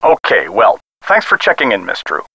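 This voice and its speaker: An actor voicing a weary, skeptical American police sergeant in a video game, brusque, folksy and condescending, as if on a telephone call. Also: male voice, lowish voice, wildly swooping pitch, normal speed, gravelly, bright, forceful tone, husky, no room sound, exaggerated voice. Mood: patronizing. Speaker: police missing-persons officer.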